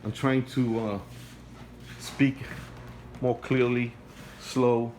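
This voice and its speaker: A man with a non-native English accent and a middle-pitched voice talks through his home setup in a halting, repetitive way, restarting phrases and sounding earnest. He records on a phone he holds while filming, and a faint hum sits behind him. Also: little pitch movement, darkish tone. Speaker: hobbyist vlogger. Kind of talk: casual home video walkthrough. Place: home, beside an aquarium indoors. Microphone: phone held by the speaker while filming.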